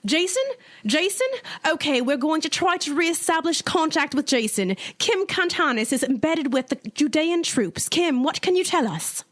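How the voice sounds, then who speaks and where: A woman playing a composed radio news correspondent with measured delivery in a reenacted broadcast. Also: high-pitched, animated. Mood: concerned, businesslike. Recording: loud crowd and noise behind.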